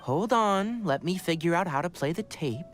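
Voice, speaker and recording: An actor playing a composed, protective young scholar in an anime video game, explaining things in a measured, clinical, serious manner. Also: male voice, high-pitched, animated. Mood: analytical. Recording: game audio with music behind.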